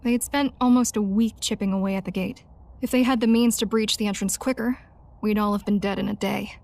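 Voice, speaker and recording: Woman acting as a hard-nosed resistance leader, creaky gravelly voice, medium pitch, curt, weary, video game. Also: fairly even intonation, a little fast, a little mellow, natural voice. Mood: grave, recounting. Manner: curt, matter-of-fact. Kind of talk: video game cutscene dialogue.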